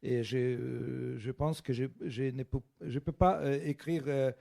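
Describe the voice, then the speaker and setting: A man's voice: mid-range voice, steady tone, unhurried, gravelly, warm tone. Hesitant man with a Russian accent. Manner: hesitant, searching for French words. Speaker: guest author. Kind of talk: bookshop interview.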